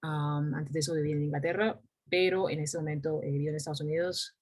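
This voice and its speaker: A low-voiced woman from San Andrés whose first language is Creole English, speaking Spanish with a soft island accent over a video call. She teaches an online English class at a measured pace with many fillers. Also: fairly even intonation. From San Andrés, Colombia.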